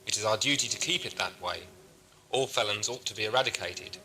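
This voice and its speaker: A man's voice: lowish voice, fairly quick, pushed and bright, a little hiss or hum, low-quality recording. A man playing an authoritarian, scheming prison ship commander in a science fiction audio drama, stern, clipped and formal. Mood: stern, righteous.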